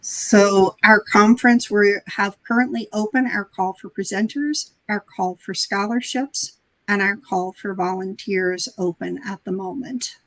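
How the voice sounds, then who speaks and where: A woman program coordinator introducing the team and open calls in a webinar, speaking in a plain, steady way. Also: medium pitch, measured pace, no room sound, low-quality recording. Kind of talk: webinar presentation.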